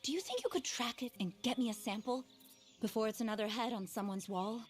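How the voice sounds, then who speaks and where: A woman's voice: on the high side, fairly quick, over music, close to an everyday voice. A woman acting an eccentric, passionate, animal-loving naturalist in a video game, theatrical, earnest and a little scattered. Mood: intrigued. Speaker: quest-giving wildlife specialist in a western game.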